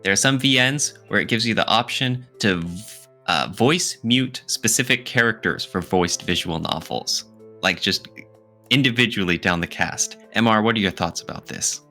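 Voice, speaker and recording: A man co-hosting a podcast, talking casually and jokingly in an animated, teasing, argumentative way. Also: on the low side, normal speed, soft background music. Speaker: podcast co-host. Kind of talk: casual, joking opinion talk.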